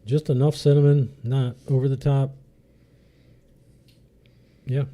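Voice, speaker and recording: A man's voice: medium pitch, level tone, slow pace, dark tone. A man chatting casually as the host of a podcast. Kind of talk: casual chat.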